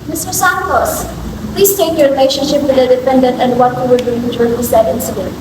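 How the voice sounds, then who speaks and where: A woman's voice: high voice, fairly even intonation, brisk, far from the mic, close to an everyday voice. A young woman with a Filipino accent playing a formal defense lawyer in an amateur courtroom drama, heard from afar in an echoey, noisy hall. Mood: formal, brisk. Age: young adult. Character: brisk formal female defense lawyer addressing the judge. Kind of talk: amateur stage courtroom drama, scripted delivery.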